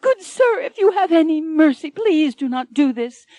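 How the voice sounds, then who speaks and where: A woman plays a terrified young captive, pleading in a high, shaking voice well above her usual pitch. The recording is dry and close. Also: lively intonation, normal speed, warm tone, dry recording. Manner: high, trembling pleas.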